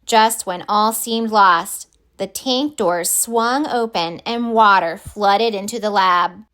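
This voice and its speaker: Slow, deliberate woman reading a storybook. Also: medium pitch, fairly bright tone, clear, resonant tone. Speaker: storybook reader. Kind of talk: children's read-aloud narration.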